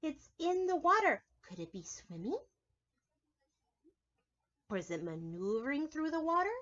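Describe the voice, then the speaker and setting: Very high-voiced woman reading to preschoolers. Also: muffled recording. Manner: expressive, drawn-out storytelling, addressing children directly. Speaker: preschool teacher. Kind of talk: picture-book read-aloud for young children, with asides and questions.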